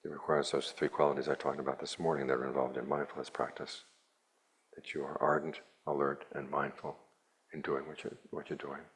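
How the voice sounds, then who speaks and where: A man, a Buddhist monk, giving a plainspoken, measured dharma talk to a retreat group with a dry, wry touch. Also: rumbling low, level tone, breathy edge.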